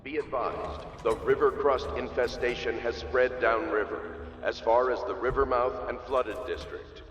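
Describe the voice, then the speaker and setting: A man acts as a stern dystopian city announcer from a video game, formal and authoritative. His mid-pitched voice rings out with long reverb over faint outdoor noise. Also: fairly even intonation, natural voice. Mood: stern, official. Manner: authoritative, official, measured. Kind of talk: formal public address announcement. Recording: echoing outdoor loudspeaker, long reverb, noisy.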